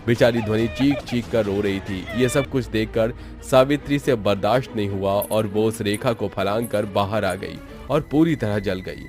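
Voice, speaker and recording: A man's voice: lowish voice, moderate pace, hardly any pauses, a little mellow, faint music behind. A man narrating a scripted horror story for a cartoon.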